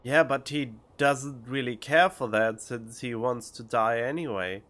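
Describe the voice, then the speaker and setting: Man, game streamer, casual let's-play commentary, medium pitch, very slow pace. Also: fairly bright tone. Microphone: close studio condenser microphone.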